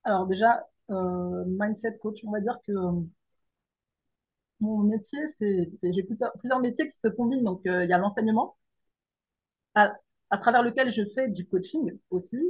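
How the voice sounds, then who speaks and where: Husky muffled woman on a remote call. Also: mid-range voice, normal speed. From France, Paris region. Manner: thoughtful, hesitant with many fillers and restarts. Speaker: guest, mindset coach and teacher. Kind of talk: remote interview conversation.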